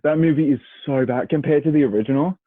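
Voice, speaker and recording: A man's voice: a little high, fast, no room sound. Australian guy on a thin, phone-like line. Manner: blunt, opinionated. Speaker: podcast co-host. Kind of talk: casual, sweary banter between mates. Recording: muffled phone-like radio sound.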